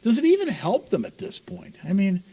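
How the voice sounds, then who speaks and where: A man's voice: sits high, wildly swooping pitch, brisk, gravelly, dark tone, husky. A man co-hosting a sports talk show, asking skeptical questions in casual banter.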